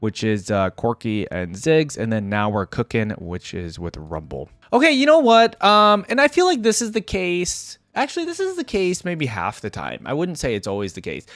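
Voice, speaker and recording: A man's voice: medium pitch, very animated, moderate pace, hardly any pauses, fairly bright tone, no room sound. A man hosting a reaction video, giving casual off-the-cuff game commentary in a rambling, conversational way that often checks for agreement. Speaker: YouTube reaction host.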